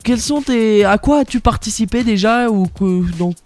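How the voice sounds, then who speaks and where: A man's voice: high-pitched, moderate pace, faint music behind. A young man hosting a radio interview, talking casually with many fillers and restarts. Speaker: radio host.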